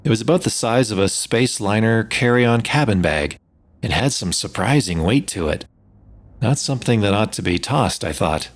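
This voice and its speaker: A man as low-voiced spaceship pilot narrator. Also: animated, normal speed. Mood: measured, curious. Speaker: narrator and lead actor. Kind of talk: first-person sci-fi audio story narration.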